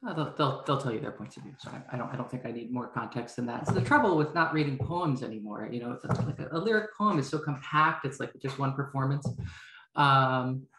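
A woman in her thirties, a novelist with a low voice, talking and reading informally over a video call. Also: fairly bright tone. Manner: hesitant, self-interrupting. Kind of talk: informal introduction and prose reading. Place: room at home. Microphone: video call, headset worn. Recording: roomy, echoing video-call audio.

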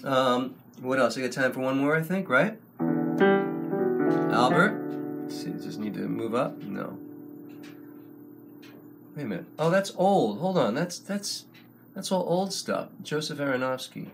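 An older man hosting a casual livestream from a small room, talking slowly into a close webcam, rambling with many ums and restarts. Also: medium pitch, lively intonation, gravelly, a bit bright, soft background music. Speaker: online service host and songwriter. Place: small room before a wooden wall, indoors. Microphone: webcam at close range.

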